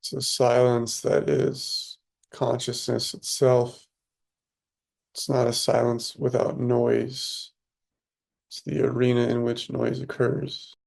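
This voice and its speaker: A man leading a guided meditation as a teacher, speaking calmly and unhurriedly with long pauses. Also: medium pitch, gravelly.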